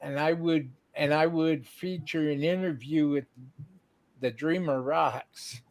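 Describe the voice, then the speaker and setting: A man's voice: a little high, steady tone, takes it slowly, no room sound. A man hosting a live-streamed show, chatting casually with a band, reading out chat messages and laughing often. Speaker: livestream show host.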